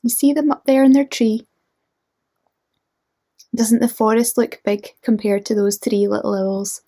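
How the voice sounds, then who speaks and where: A woman storyteller with a fairly high voice and an unhurried pace. She narrates for young children gently, in an inviting, interactive way. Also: flat delivery. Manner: gentle, inviting listeners to join in.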